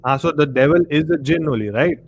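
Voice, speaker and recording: A man with an Indian accent hosting a casual live discussion, explaining in a halting way and checking that he is understood. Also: fairly high pitch, vocal fry, faint music behind. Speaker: live stream host. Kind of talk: casual discussion of horror films and the supernatural.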